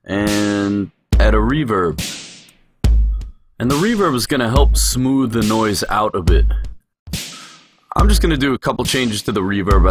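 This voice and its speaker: A man narrating a software tutorial as an instructor, explaining things step by step. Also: fairly low pitch. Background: music production sounds, drum hits.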